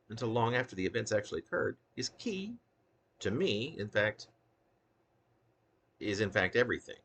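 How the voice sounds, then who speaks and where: A man's voice: fairly low pitch, a bit bright, slightly rough. A man reading his own poetry as an essay-like monologue, in a ruminative, wordy and emphatic manner. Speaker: poet reading own work. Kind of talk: spoken poetry reading, essay-like monologue with occasional unscripted asides.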